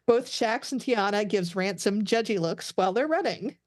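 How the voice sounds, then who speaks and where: A woman's voice: mid-range voice, lively intonation, normal speed, no room sound, clean studio sound. A woman reading stage directions aloud as the narrator of a scripted table read.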